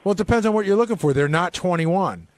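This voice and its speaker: A man's voice: high voice, animated, quick pace, a little mellow, dry recording. A man chatting casually as a regular on a radio show. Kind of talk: casual radio wrap-up banter.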